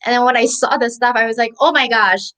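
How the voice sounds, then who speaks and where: A woman's voice: fairly high pitch, fairly even intonation, quick pace, bright, forceful tone. A woman in her thirties from the Philippines, a guest telling her story in a casual, chatty interview with many restarts.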